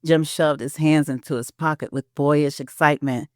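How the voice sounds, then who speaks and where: Audio drama narrator, mid-pitched, expressive and measured, very dry close sound. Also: no echo.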